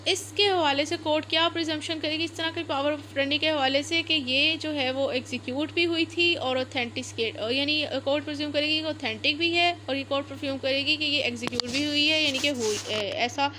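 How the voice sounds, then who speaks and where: A woman with a Pakistani accent speaks in a very high voice, lecturing like a law teacher. She reads out and then explains point by point, repeating herself and slipping in English terms. There is faint noise in the recording. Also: steady tone, brisk, hardly any pauses, sharp tone. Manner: repetitive step-by-step explaining, code-switching into English terms.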